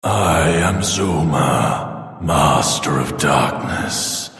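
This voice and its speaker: A menacing demon lord of a video game, pompous and cruel. The voice is very low and gravelly, and it crawls along at a very slow, theatrical pace, drenched in cavernous reverb. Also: male voice, animated, a bit bright, heavily acted. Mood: grandiose menace. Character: grandiose demon overlord, menacing dark lord. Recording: long echoing reverb, music behind.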